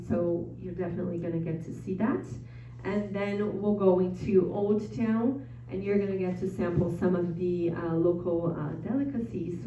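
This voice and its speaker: A chatty woman with a Brazilian Portuguese accent presents to a live audience, filling gaps with ums and uhs. Her voice is mellow and warm. She sounds a bit distant from the mic in an echoey room, with a dull, muffled recording. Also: fairly low pitch, a little hiss or hum. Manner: chatty, frequent ums and uhs, self-deprecating. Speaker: travel presenter at an info session.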